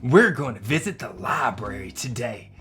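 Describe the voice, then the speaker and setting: A man's voice: medium pitch, sing-song, normal speed, fairly bright tone, voice pushed down. A man voicing a kindly, theatrical woman schoolteacher in a children's storybook. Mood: cheerful.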